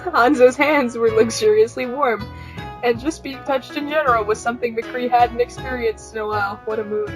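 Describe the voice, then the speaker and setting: A woman's voice: a little high, normal speed, rough recording. A woman reading fiction aloud as a podcast host, playful, slipping in wry comments of her own. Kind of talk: informal read-aloud narration with banter. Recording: guitar music behind the voice. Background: plucked guitar music.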